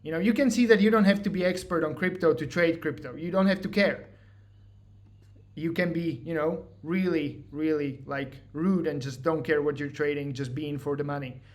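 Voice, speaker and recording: A man's voice: a little high, normal speed. A man with a Slovak accent walking through trading charts in a casual, joking way, mocking himself and filling his talk with "like" and "you know". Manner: joking, self-mocking, filler-heavy. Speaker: trading educator. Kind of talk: casual screen-share walkthrough.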